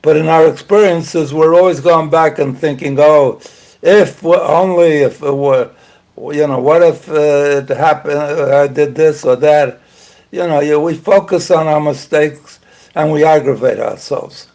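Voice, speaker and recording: An older man hosting a talk show, speaking slowly and haltingly, clearing his throat often and losing his voice. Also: on the high side, darkish tone, distant mic. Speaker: host. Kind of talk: casual talk show monologue.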